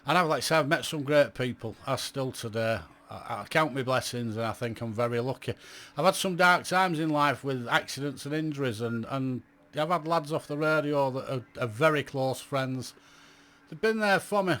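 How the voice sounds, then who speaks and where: A man's voice: mid-range voice, normal speed, fairly bright tone. A man in his late fifties, a radio amateur looking back on his hobby in a blunt, chatty monologue full of "you know" asides. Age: 50s. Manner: blunt, chatty, self-described grumpy, lots of "you know". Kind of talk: reflective casual monologue to camera.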